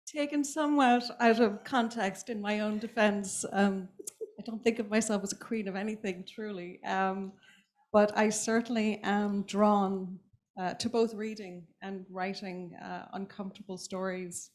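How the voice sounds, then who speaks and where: A woman's voice: mid-range voice, fairly even intonation, a little slow. Irish woman, author, reflective, hesitant, lots of ums. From Ireland. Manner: thoughtful, hesitant, many ums and restarts. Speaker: author on a panel. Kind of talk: reflective panel talk.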